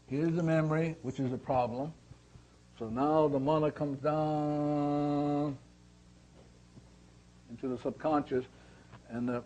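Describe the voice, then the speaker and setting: A man teaching a spiritual seminar in a deliberate, repetitive way, asking and answering his own questions. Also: on the high side, mellow. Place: seminar room with attendees. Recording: slightly dull lo-fi recording.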